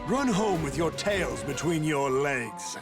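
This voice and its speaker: A man voicing an arrogant, menacing warlord villain in an anime fantasy game, haughty and deliberate. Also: on the high side, very animated, music in the background, full character voice. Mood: cold taunting.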